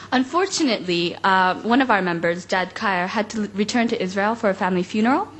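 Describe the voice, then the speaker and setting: A young woman from South Korea, a youth delegate, giving a prepared, measured speech at a forum. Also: mid-range voice, fairly bright tone, a little hiss or hum, poor audio. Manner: formal, measured reading.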